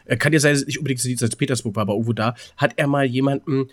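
A man's voice: mid-range voice, fairly even intonation, rapid-fire. A man co-hosting a podcast in casual, colloquial chat, repeating words as he thinks aloud. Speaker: podcast co-host.

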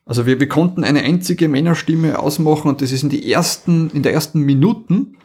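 A man's voice: fairly high pitch, fairly quick, darkish tone, slightly rough. A man with an Austrian accent, co-hosting a podcast and reviewing in casual chat, amused and colloquial, often repeating words for emphasis.

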